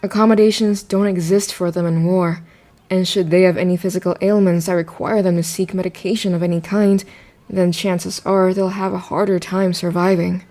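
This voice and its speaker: Woman acting sober, mellow-voiced realist. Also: on the low side, little pitch movement, normal speed, natural voice. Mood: grave, resigned. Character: sober, realistic female friend explaining war's harsh realities. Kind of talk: amateur radio drama acting.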